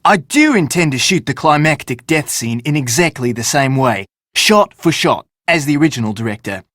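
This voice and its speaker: An actor playing a self-important, theatrical film director in an adventure game, speaking pompously with dramatic emphasis. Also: male voice, fairly high pitch, animated, moderate pace, a bit bright, no room sound, clean studio sound. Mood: boastful. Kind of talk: scripted game character dialogue.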